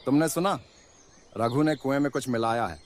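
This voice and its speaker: Casting: man voicing cunning cartoon village villain, medium male pitch, insinuating delivery, slightly noisy recording. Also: moderate pace, close to an everyday voice. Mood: sly, envious. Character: cunning, selfish, envious young village man, scheming villain. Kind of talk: animated moral story acting.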